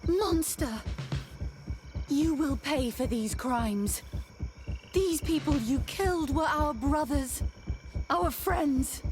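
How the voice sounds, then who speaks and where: A woman's voice: high voice, lively intonation, slow pace, long pauses, noisy background. An actress playing an earnest, emotional woman on an expedition in a video game. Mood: outraged. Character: shocked, righteous woman on a scholarly expedition. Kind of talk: dramatic video game voice acting.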